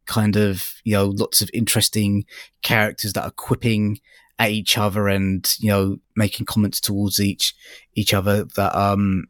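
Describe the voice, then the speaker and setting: A man's voice: on the low side, little pitch movement, moderate pace, dry recording, clean studio sound. A man co-hosting a podcast, chatting casually in a hesitant, rambling way as he thinks aloud. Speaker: podcast co-host reviewing audiobooks. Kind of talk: casual discussion.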